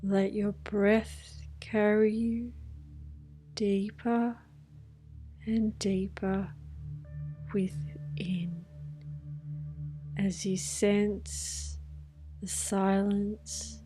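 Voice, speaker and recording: A woman guiding a scripted meditation in a hushed, soothing manner, leaving long pauses between phrases. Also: medium pitch, little pitch movement. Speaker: meditation guide. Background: music with singing bowls.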